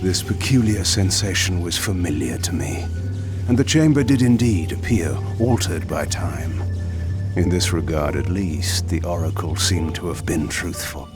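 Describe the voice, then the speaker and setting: A man playing a proud, ancient vampire lord who narrates a video game in measured, eloquent and sardonic speech. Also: sits low, lively intonation, music in the background. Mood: brooding, resolute. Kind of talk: theatrical scripted game narration.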